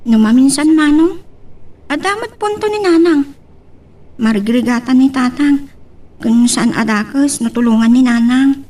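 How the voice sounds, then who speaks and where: A woman's voice: sits high, a little slow, long pauses, mellow. A woman playing an earnest young daughter who pleads for her family in an audio drama, a little off the microphone. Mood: earnest, persuading. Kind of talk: Ilocano radio-style audio drama. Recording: distant from the microphone.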